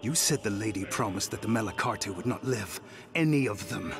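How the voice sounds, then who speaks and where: An actor playing a doubting, agitated follower of a secret band in a fantasy game, speaking urgently and challengingly. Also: male voice, on the low side, lively intonation, normal speed, vocal fry, music in the background. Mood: alarmed, accusing. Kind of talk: dramatic fantasy game voice acting.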